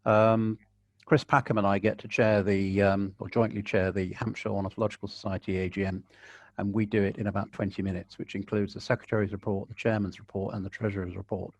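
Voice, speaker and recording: A man with a low, soothing voice speaks in a calm, measured way, dry and a little wry. He sounds close and dry on a video call, with no room echo. He is answering questions in a relaxed webinar. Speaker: guest conservationist. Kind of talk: webinar Q&A, giving advice.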